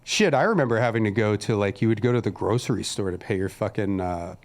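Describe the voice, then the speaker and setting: A man's voice: on the low side, lively intonation, fast talker. A man co-hosting a casual podcast, ranting in a crude, sarcastic way. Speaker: podcast co-host.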